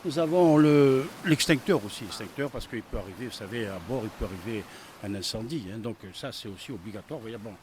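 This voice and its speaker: A man's voice: medium pitch, expressive, fairly quick, background noise, natural voice. A man playing a rambling, hot-tempered boat owner who talks with a strong accent in a comedy film. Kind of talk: burlesque comedy film acting.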